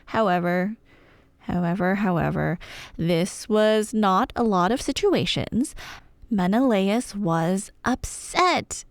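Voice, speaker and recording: A woman telling a scripted myth as ASMR roleplay, conversational and wry, with dramatic pauses and emphasis. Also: medium pitch, no room sound, studio quality.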